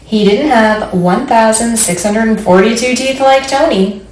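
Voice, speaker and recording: A woman's voice: a little high, a little slow, far from the mic. A woman librarian leading a children's storytime, speaking simply and encouragingly to young listeners and reading aloud. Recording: roomy echo, faint background noise.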